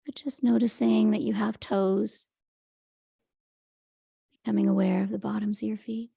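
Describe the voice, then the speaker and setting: A woman leading a guided meditation in a gentle, unhurried, soothing manner, with a soft, breathy voice. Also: medium pitch, little pitch movement, no echo, studio quality. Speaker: meditation teacher. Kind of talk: guided meditation, Yoga Nidra.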